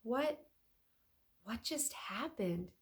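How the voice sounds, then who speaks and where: A woman voicing an excitable, dreamy older male inventor and artist in a children's storybook, dramatic and emphatic. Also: a little high, extremely slow, pitched above the performer's own voice. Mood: dazed, amazed. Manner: emphatic, exclaiming. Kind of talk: children's storybook read-aloud.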